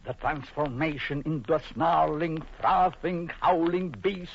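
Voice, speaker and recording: An actor playing a coldly vengeful, jealous doctor with menacing mock courtesy in a vintage radio horror drama. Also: male voice, fairly high pitch, measured pace, creaky. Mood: gloating menace. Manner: menacing, mock-polite, theatrical. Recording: muffled vintage radio broadcast.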